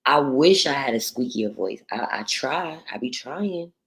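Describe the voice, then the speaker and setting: Deep voiced woman on a livestream, blunt and cussing, chatting with her viewers. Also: expressive, unhurried. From New York. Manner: blunt, profane and outspoken. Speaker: livestream host. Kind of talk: casual livestream chat reading viewer comments.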